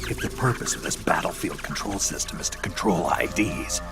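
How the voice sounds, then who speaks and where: An actor playing a terse, hardened veteran soldier with a gravelly, very deep voice in a game cutscene. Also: male voice, a little fast, loud music behind the voice. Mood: grim. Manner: terse, clipped replies. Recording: game cutscene with loud score.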